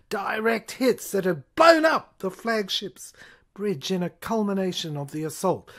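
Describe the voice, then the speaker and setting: A woman performing a scripted dramatic monologue as the narrator of an audio play, with theatrical, halting phrasing that can fall toward a whisper. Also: medium pitch.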